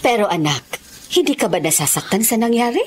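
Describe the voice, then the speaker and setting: A woman's voice: fairly high pitch, very animated, brisk, a little hiss or hum. An actress playing a caring middle-aged mother with a soothing, motherly delivery in a Tagalog radio drama. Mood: concerned, consoling.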